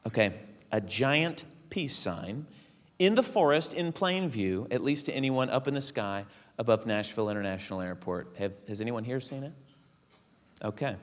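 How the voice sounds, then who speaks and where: A man's voice: mid-range voice, lively intonation, moderate pace. A man hosting a live stage show, introducing the next segment to an audience. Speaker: host. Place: theater stage, before an audience. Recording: reverberant theater hall.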